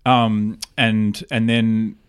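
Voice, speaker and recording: Aussie male podcast guest, thirties, lower voice, dry close sound, loose anecdotal delivery. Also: flat delivery, extremely slow, clean tone, dry recording. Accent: Australian. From Australia. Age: 30s. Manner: rambling, informal storytelling with slang. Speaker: podcast guest, cookbook publisher. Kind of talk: candid casual interview chat.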